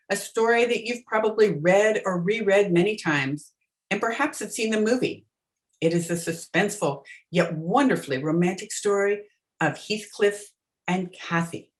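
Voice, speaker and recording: A woman hosting, reading a scripted introduction to a radio drama. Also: fairly low pitch. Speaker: host.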